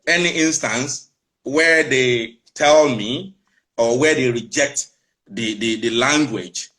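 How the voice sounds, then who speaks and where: Calm Nigerian father explaining. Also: mid-range voice, takes it slowly, rough recording. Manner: calm, explaining, repeats phrases. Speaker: father and channel runner. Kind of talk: casual interview answers.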